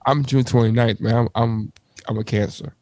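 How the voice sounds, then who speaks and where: A man's voice: mid-range voice, steady tone, normal speed, dark tone, no room sound. A man in his forties co-hosting a podcast, rambling in casual chat and repeating himself when flustered. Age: 40s. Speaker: podcast co-host.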